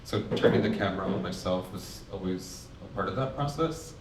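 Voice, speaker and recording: Deep-voiced nervous man in an echoey gallery. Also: slight background noise. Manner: nervous, halting with many fillers. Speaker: exhibiting artist on a panel. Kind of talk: panel artist talk. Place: art gallery. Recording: echoey gallery, mic far off.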